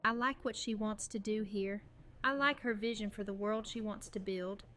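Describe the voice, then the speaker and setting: A woman's voice: medium pitch, steady tone, moderate pace, pushed and bright, lightly acted. Actress as a guarded young farm woman, faint noise. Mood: measured, wry. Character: Maggie, grieving farm-raised young woman, guarded but warm. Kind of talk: fan audio drama dialogue.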